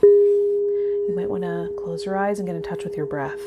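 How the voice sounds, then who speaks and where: A woman hosting a vlog as a tarot reader, talking to viewers in a gentle, reflective way and offering spiritual guidance. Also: medium pitch, huge pitch swings, moderate pace, phone-quality sound, very noisy. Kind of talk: casual spiritual guidance talk to viewers, partly read out.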